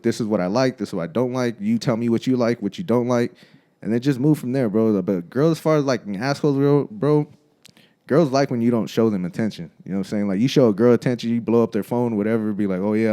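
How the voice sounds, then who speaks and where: A man's voice: mid-range voice, mellow. A man in his thirties co-hosting a podcast, chatting casually in a laid-back, slangy way, often saying bro and laughing. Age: 30s. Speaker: podcast co-host. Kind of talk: casual chat.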